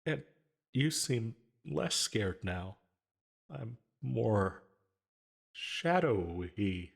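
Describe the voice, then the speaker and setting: A man playing a lonely, possessive sleep paralysis demon in an intimate ASMR roleplay, hesitant and stammering, with a breathy, husky voice. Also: lowish voice, animated, heavily acted. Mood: drained, uncertain. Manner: halting, hesitant, stammering, tentative.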